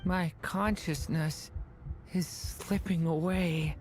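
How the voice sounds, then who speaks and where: A gentle young dragon boy in a dramatic game scene, lowish for a female voice and slow. The speech is soft, halting and earnest, over faint music. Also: steady tone. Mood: weak, fearful. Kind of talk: dramatic video game voice acting.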